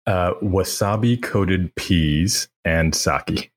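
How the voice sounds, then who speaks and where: A man with a very low, husky voice chats as a podcast guest. He tells stories in a relaxed, talkative way and laughs easily. He grew up in Pakistan. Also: drawn-out words. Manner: chatty, laughs easily, lively storytelling. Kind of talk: casual chat.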